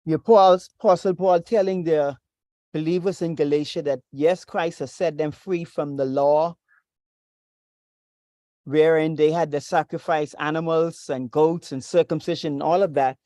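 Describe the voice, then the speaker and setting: A preacher speaks in a measured, deliberate way, reading and teaching over a video call. His voice sits at a middle pitch, and the sound is dry and close. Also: fairly even intonation, warm tone, dry recording. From Bahamas. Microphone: video call with screen share.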